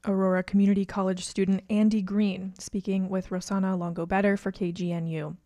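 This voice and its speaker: A woman's voice: medium pitch, little pitch movement, fairly quick, warm tone, no echo. Woman reading scripted radio news. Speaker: news presenter.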